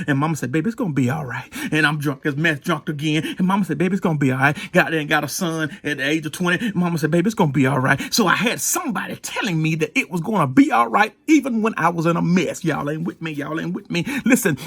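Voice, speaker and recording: A man's voice: on the high side, animated, quick pace, hardly any pauses, a bit bright, husky, no echo. A man, a pastor telling stories and exhorting in a folksy, informal sermon style with repeated phrases.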